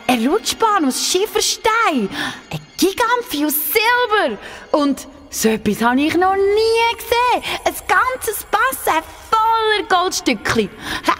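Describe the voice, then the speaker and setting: A woman playing a curious, kind-hearted young girl in a children's audio play, speaking with a Swiss German accent in an expressive, childlike, wide-eyed way. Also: very high voice, exaggerated voice. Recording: long cavernous reverb.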